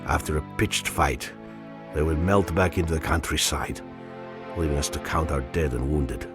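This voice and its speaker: Very deep-voiced Soviet officer narrator. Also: male voice, steady tone, normal speed, over music. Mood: sombre, reflective. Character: weary, reflective Soviet officer recounting a war. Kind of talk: scripted narration for a video game.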